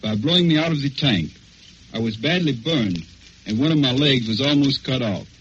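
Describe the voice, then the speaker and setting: Young American male soldier, mid-pitched, reading personal testimony over a dull, slightly noisy 1940s radio broadcast. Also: expressive, normal speed, a bit bright. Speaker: wounded soldier recounting combat.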